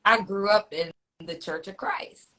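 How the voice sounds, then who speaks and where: A woman's voice: medium pitch, unhurried, bright, forceful tone. A woman in her forties from Nebraska, hosting a casual radio interview in an easygoing way with frequent short affirmations. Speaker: radio host.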